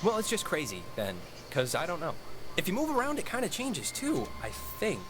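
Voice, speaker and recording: A man playing an excitable, mischievous teenage slacker in a video game, with a joking, slangy delivery. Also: high voice, brisk. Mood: amazed. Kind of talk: naturalistic video game dialogue acting. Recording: music behind the dialogue.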